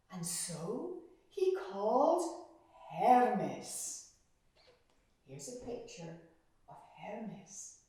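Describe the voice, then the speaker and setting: Lady telling kids a story, super slow and dramatic, far from the mic, lots of room echo. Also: on the high side. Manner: deliberate, expressive, with repetitions and pauses. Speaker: storyteller, teacher. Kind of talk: dramatic storytelling of a Greek myth for children.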